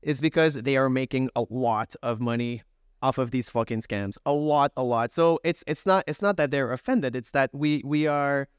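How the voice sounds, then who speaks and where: A man on a conference panel talks haltingly, restarting his sentences again and again. His voice is mid-pitched and clear, and the sound is dry and close. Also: no room sound. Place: conference stage.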